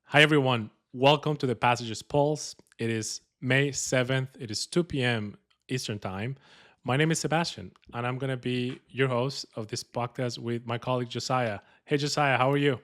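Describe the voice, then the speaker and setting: A man with a Colombian Spanish accent hosts a podcast in casual conversation. He jokes easily and fills his sentences with hesitations. His voice sits at a middle pitch. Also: moderate pace, a bit bright. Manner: upbeat, joking, many fillers. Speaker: podcast host.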